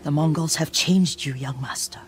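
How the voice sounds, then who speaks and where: A woman's voice: sits low, normal speed, lightly acted. Game role: actress as aged woman retainer, protective and careful, strong score underneath. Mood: grave. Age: older. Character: elderly devoted woman servant, caring and cautious. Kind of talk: video game cutscene acting. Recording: music behind the voice. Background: cinematic game music.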